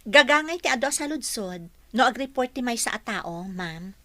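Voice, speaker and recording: A young female office worker speaks in a bright, forward, slightly high voice. She is deferential to her superiors yet determined, in the earnest manner of radio drama. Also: lively intonation, normal speed, no room sound. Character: earnest, respectful young female office employee about to present. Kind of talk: Filipino radio drama acting.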